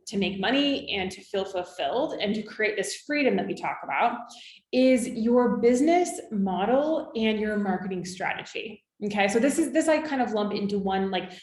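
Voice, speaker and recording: A woman's voice: medium pitch, darkish tone, echoey room. A woman in her thirties from California, a business coach talking to her viewers in an encouraging way, often checking in with "okay?" and "right?". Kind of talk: coaching talk to camera.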